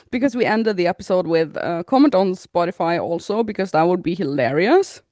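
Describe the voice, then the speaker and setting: A woman's voice: lowish voice, expressive, moderate pace, mellow, no room sound, studio quality. A woman in her thirties co-hosting a podcast, chatting casually and reading out listener comments with frequent laughter. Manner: giggly, reads comments aloud with frequent laughter. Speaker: podcast co-host.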